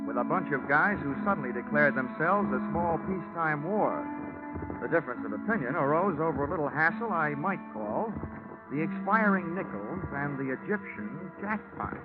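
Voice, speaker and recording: A man's voice: a little high, lively intonation, normal speed, loud music behind the voice, close to an everyday voice. A man with an American accent playing a wry, cocky insurance investigator in a 1940s radio detective drama, wisecracking in a dry, clipped way. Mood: wry storytelling. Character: wry, hard-boiled freelance insurance investigator, cocky and slangy. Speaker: lead actor and first-person narrator. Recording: tinny, band-limited 1949 radio recording.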